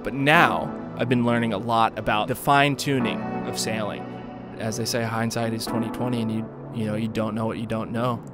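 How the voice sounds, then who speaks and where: A man's voice: lowish voice, fairly even intonation, normal speed. A young man, a sailing vlogger and skipper, narrating his voyage in a thoughtful, musing, matter-of-fact way. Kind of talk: reflective vlog narration. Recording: voice-over with loud music behind.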